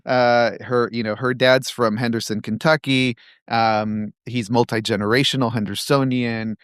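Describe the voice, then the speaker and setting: A man's voice: mid-range voice, steady tone, normal speed, a bit bright, smooth, no echo. A man from San Diego, a guest on a studio podcast, telling his story in a reflective way. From San Diego, United States. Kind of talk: casual interview.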